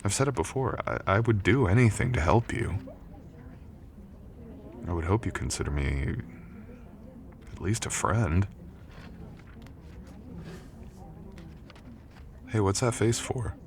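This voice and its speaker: Very deep, tender young college jock, acted by a man. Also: heavily acted. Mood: tender, reassuring. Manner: soft, teasing, gently reassuring. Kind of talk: intimate boyfriend ASMR roleplay. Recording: clearly audible background noise.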